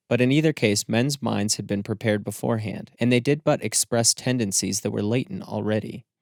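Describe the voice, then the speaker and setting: A man narrates literary fiction for an audiobook. His voice sits somewhat low. The sound is very dry, free of room echo, and the reading is scripted.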